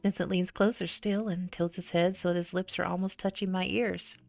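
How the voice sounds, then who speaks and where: A woman narrating in first person as a flustered, anxious high schooler in a visual novel. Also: flat delivery, brisk, gravelly, a little mellow, soft background music. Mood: tense.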